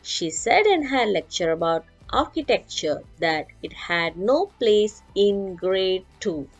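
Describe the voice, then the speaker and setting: A woman reads a children's story aloud at an unhurried pace. Her pitch moves widely from phrase to phrase, and music plays behind her. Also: expressive, lo-fi sound. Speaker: story reader. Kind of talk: animated children's read-aloud.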